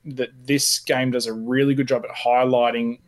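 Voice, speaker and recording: A male podcast co-host with an average-pitched voice. He shares opinions in a casual, conversational discussion with the group. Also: flat delivery, moderate pace.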